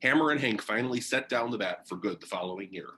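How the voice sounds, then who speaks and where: A man's voice: lowish voice, lively intonation, quick pace, bright, forceful tone. A man reading a biography aloud as narration, in the style of a book for young listeners.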